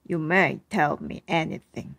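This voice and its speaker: A woman voicing a gentle, encouraging old grandfather full of wonder in a children's storybook. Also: sits low, measured pace, vocal fry, voice pushed down, full character voice. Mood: reassuring. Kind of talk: children's storybook read-aloud.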